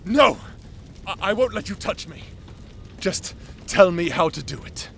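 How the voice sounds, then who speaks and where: A man playing a proud, tormented captor under a curse in a dark fantasy audio roleplay, with a theatrical, anguished and imperious delivery. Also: sits high, very animated, unhurried, vocal fry, a little hiss or hum, full character voice. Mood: wary, demanding.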